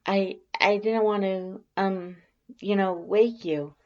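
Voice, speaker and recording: A narrator voicing a timid, awkward female monster scientist with a nervous, halting stammer in a story reading. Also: medium pitch, steady tone, highs cut off, pitched above the performer's own voice, lightly acted. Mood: flustered.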